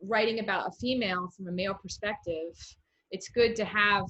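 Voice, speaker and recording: A woman's voice: medium pitch, fairly even intonation, brisk, sharp tone, highs cut off. An American woman hosting a casual literary interview, thinking aloud in an encouraging, conversational way. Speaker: interviewer, host, poet.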